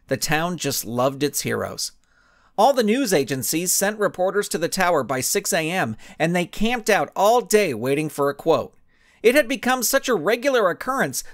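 A man reading his own children's story aloud in a playful, animated storytelling manner. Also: fairly high pitch. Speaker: author and narrator. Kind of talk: storybook narration.

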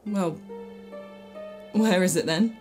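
A woman's voice: medium pitch, slow pace, a little mellow, soft background music, close to an everyday voice. An actress playing an urgent, level-headed young woman in a fan-made audio drama. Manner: urgent, bossy.

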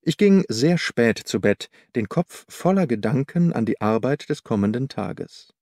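A man reads a first-person detective story as an audiobook narrator. His mid-pitched voice is measured and articulate, recorded close and dry. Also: lively intonation, darkish tone, dry recording.

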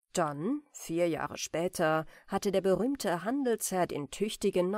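Female bedtime-story narrator, soft and expressive, with lively melody and a very dry sound. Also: medium pitch, no echo. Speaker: audiobook narrator. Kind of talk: gentle bedtime fairy-tale reading.

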